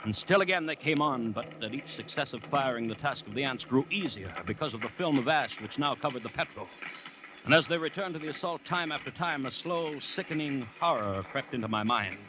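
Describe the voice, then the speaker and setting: A man acting an anxious commissioner who narrates a 1940s radio drama in a measured, dramatic way full of dread. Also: mid-range voice, animated, background noise, close to an everyday voice. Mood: mounting dread. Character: anxious male government commissioner recounting a dreadful siege. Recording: restored 1940s radio broadcast.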